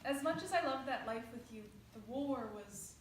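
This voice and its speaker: A woman's voice: high voice, lively intonation, moderate pace. A woman playing a weary, loving, wistful soulmate in a staged play reading. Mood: fond. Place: indoor stage. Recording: very echoey room, faint noise.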